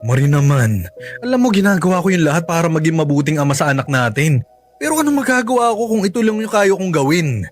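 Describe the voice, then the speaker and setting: A man's voice: on the high side, sing-song, fast talker, faint music behind. An actor with a Filipino accent playing a cash-strapped, apologetic and devoted young father in a radio drama, with a self-effacing manner. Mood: pleading.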